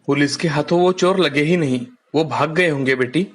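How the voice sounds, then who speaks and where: A man playing a humble, caring village father in a children's animated story, earnest and gentle in manner. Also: fairly high pitch, normal speed, close to an everyday voice. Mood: reassuring, resigned.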